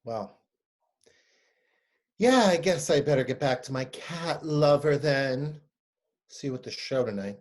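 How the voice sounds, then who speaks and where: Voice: medium pitch, expressive, unhurried, long pauses. An actor playing a hurt circus clown who feigns good cheer with brittle sarcasm in a staged play reading. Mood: stiff, hurt.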